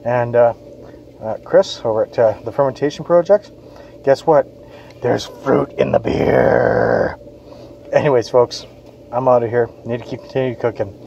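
Dad reviewing a beer out on the patio, relaxed mellow voice, kinda muffled phone audio. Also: male voice, mid-range voice, measured pace, creaky, a little hiss or hum. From Western Canada. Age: adult, parent of kids. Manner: chatty, informal, reads label aloud. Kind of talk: casual video review. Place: outdoor patio.

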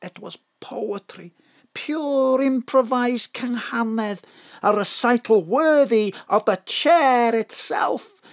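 An actor playing a grandiose, excitable in an audio drama, declamatory and effusive. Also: male voice, extremely high pitch, slow, creaky, heavily acted. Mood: rapturous. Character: excitable, grandiose Welsh male Archdruid.

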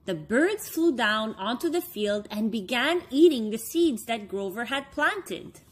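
High, lively woman reading a children's story. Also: husky. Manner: expressive, deliberate phrasing for young listeners. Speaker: story time reader for children. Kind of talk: read-aloud children's storybook narration.

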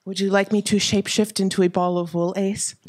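A woman's voice: mid-range voice, level tone, a little fast, darkish tone, lightly acted. A woman playing an obliging shapeshifting space assistant in a staged comedy, announcing things neatly. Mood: dutiful. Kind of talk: staged audio drama comedy. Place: on stage in a theatre. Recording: noisy live stage recording, hall reverb.

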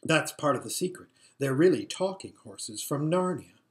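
A woman's voice: low voice, expressive, normal speed. A woman voicing a serious, commanding runaway young noblewoman in a storybook, urgent and insistent. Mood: serious, anxious.

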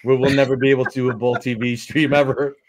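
A man's voice: medium pitch, little pitch movement, fast. A man hosting a podcast over a video call, speaking hesitantly with many fillers. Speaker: podcast host. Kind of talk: casual podcast chat.

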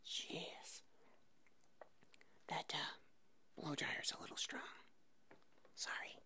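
A woman voicing an eccentric, bumbling puppet monster hairdresser in a halting, breathy whisper for an ASMR roleplay. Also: deep voice, sharp tone, exaggerated voice. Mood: sheepish. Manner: halting, hesitant, slow.

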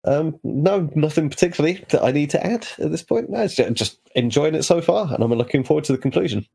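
A man's voice: on the high side, lively intonation, fast talker, creaky, dark tone, breathy edge. A man chatting casually as a panelist on a podcast. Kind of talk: casual chat.

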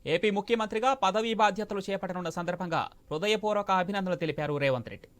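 A man's voice: high voice, fast, a bit bright. A man working as a news anchor, reading reports and putting questions to a correspondent.

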